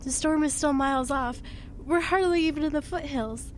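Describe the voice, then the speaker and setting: A woman's voice: high-pitched, moderate pace, a little hiss or hum, lightly acted. An actress playing an anxious, wryly dramatic woman in a radio drama. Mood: uneasy, reflective. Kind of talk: radio drama acting with narrated inner monologue.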